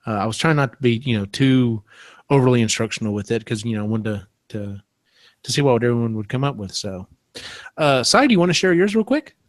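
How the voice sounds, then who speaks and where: A man's voice: mid-range voice, expressive, brisk. A man hosting a podcast in casual chat, hesitant and often searching for his words. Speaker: podcast host.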